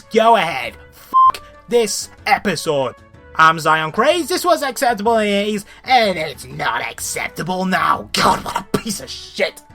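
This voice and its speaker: Animated, bright-voiced woman ranting through a review. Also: mid-range voice. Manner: ranting, exasperated, blunt and sweary. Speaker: host of a cartoon review show. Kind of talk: scripted comedic review narration.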